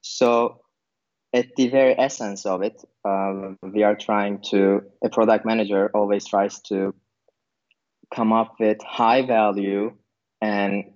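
A man from Turkey with a low voice answers interview questions in a loose, searching way, full of fillers and restarts. The recording is dull and lo-fi. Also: fairly even intonation, slow, darkish tone. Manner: thinks aloud with many fillers and restarts. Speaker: podcast guest, product manager. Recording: dull lo-fi sound.

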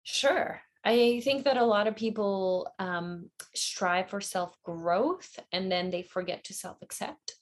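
A woman's voice: mid-range voice, slow pace, hardly any pauses. A woman speaking as a podcast guest, talking in a reflective way and laughing easily. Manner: warm, reflective, laughs often. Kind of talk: casual interview chat.

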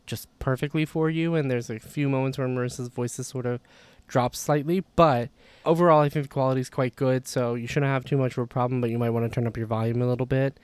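A man's voice: medium pitch, steady tone, quick pace, darkish tone, clean tone, no room sound. A man hosting a language podcast, chatting casually and rambling a little with fillers. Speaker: podcast host.

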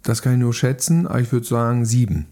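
A man's voice: medium pitch, quick pace, warm tone. A man chatting casually about films as a podcast panelist. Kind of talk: casual film chat.

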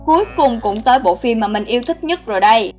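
A woman's voice: fairly high pitch, animated, fast, music in the background. A woman reading a scripted voice-over narration as the narrator of a countdown video. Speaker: narrator of a film countdown channel.

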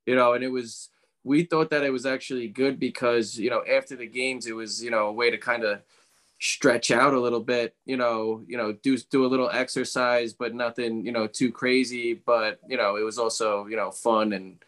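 A man's voice: mid-range voice, fairly even intonation, moderate pace, a bit bright. A man talking casually as a podcast guest, reminiscing in a loose, rambling way. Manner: rambling, frequent "you know". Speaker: podcast guest, former baseball player.

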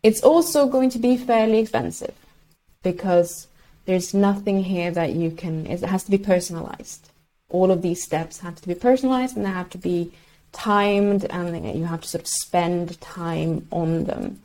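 A woman whose first language is Swedish speaks in a lowish, mellow voice. She explains things patiently as an interview guest, pausing often and searching for words. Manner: hesitant, searching for words, many fillers. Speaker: guest researcher.

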